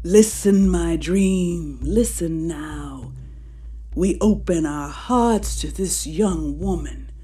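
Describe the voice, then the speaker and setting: A woman's voice: lowish voice, slow, dark tone, faint music behind. An actress playing a serene woman healer in her nineties, speaking in a formal, incantatory manner in an audio drama. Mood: solemn prayer. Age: 90s. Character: serene ninety-something woman, healer-priestess leading solemn prayer.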